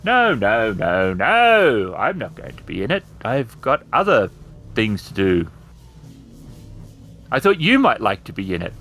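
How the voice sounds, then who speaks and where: Smug robot villain, voiced by a male game master, taunting and theatrical, pitch leaping dramatically. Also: huge pitch swings, unhurried, a bit bright, soft background music, full character voice. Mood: taunting, gleeful. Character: villainous robot with a copied mind, smug, misanthropic.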